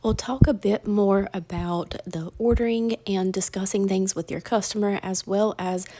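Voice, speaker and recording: A woman's voice: mid-range voice, steady tone, moderate pace. A woman narrating a casual craft tutorial in a chatty, folksy way, her voice slightly crackly from feeling under the weather.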